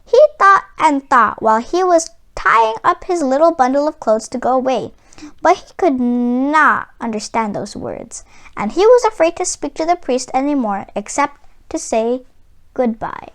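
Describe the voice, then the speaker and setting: Female children's read-aloud narrator: very high register, highly animated melody, expressive and deliberate.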